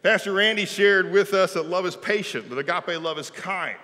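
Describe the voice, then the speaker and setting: A middle-aged pastor, preaching an animated, folksy sermon from a pulpit in a large church sanctuary. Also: male voice, high voice, normal speed, a little hiss or hum. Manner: animated preaching, folksy with "y'all", gestures while speaking. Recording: long church reverb.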